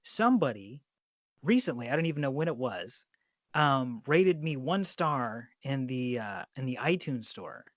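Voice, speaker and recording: A man's voice: mid-range voice, sing-song, a little slow, a bit bright, dry recording, studio quality. A man hosting a casual interview, chatting in a blunt, informal and sometimes profane way. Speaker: interviewer, podcast host. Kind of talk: casual chat.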